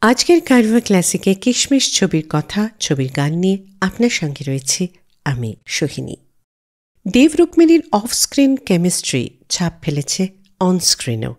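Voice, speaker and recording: A woman's voice: on the low side, big pitch swings, moderate pace, a little mellow. A woman with an Indian Bengali accent presenting a scripted film music radio show as its host.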